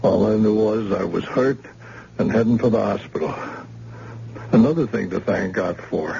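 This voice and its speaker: An actor playing a wounded man who saw a shooting, halting and hesitant, in an old radio drama. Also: lowish voice, creaky, mellow, husky, exaggerated voice. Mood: shaken. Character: wounded witness man, shaken and scared. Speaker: shot crime witness in hospital. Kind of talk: vintage radio drama acting. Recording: dull lo-fi old radio recording with faint hiss.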